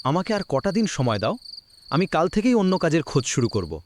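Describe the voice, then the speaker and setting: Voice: medium pitch, big pitch swings, a little fast, darkish tone, slight background noise, close to an everyday voice. An actor voicing a poor, weary boatman husband in a cartoon, speaking in an earnest, resigned manner. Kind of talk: dramatic cartoon voice acting.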